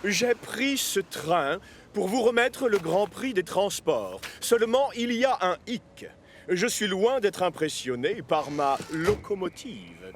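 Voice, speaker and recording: A man's voice: high voice, sing-song, takes it slowly, slight background noise, exaggerated voice. A man playing a dignified, demanding cartoon minister who speaks formally and pompously. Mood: displeased.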